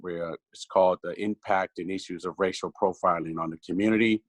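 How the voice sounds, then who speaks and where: Very deep, monotone man, formal tone, dry close mic. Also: brisk, dry recording. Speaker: police instructor, panelist. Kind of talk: online forum introduction. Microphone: video call.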